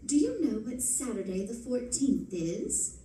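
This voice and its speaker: An actress playing a witty, affectionate, teasing society wife in the style of 1940s radio drama. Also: female voice, on the high side, sing-song, unhurried, dark tone, slight background noise. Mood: playful teasing. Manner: teasing, arch delivery. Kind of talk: live recreation of 1940s radio acting. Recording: roomy stage recording from a distance.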